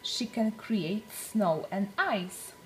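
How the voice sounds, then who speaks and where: A woman reading a storybook aloud for young children, with deliberate, expressive phrasing. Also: mid-range voice, over loud music, low-quality recording.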